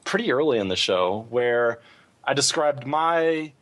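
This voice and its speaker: Male podcast co-host, medium pitch, casual gamer talk, informal and thinking out loud. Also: lively intonation, unhurried. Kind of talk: casual chat about gaming.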